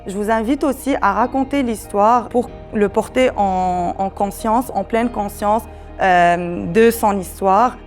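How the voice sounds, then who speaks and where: A woman gives an explanatory presentation as an educator, measured and a little hesitant. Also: medium pitch, soft background music. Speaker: human rights education coordinator and presenter.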